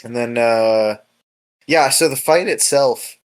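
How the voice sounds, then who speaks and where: A man chatting casually as a co-host, with a halting, stammering delivery full of repeated words and casual swearing. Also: medium pitch, smooth. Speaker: podcast co-host discussing a webcomic episode. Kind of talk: casual chat.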